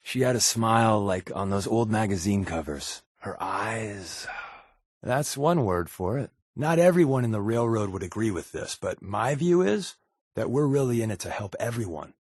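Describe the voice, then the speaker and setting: An actor playing a wry, wisecracking undercover agent in a video game, with a sarcastic, deadpan, conversational delivery. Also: male voice, on the low side, moderate pace, husky, no echo, studio quality. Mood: earnest, reflective. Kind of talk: video game companion dialogue.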